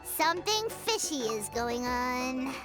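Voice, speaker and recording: A tiny, excitable fairy girl from a video game, sassy and scornful. The piping voice leaps all over, with loud music underneath. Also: high-pitched, slow pace, full character voice. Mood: cheeky, wary. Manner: refers to self in third person, sassy. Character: small childlike fairy companion girl, cheeky, excitable, scornful.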